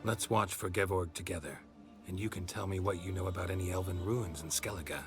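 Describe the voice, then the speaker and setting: An actor playing a stoic, dry-witted monster hunter in a fantasy game, with terse, deadpan lines. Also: male voice, sits low, brisk, over music, natural voice. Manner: terse, deadpan, clipped sentences. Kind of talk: video game cutscene dialogue.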